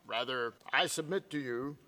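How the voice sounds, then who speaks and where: A man's voice: mid-range voice, normal speed, bright, forceful tone. A man acting an evasive small-town ladies' man in a film drama. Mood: theatrical, accusing. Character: evasive small-town actor juggling lovers, playing radio inspector.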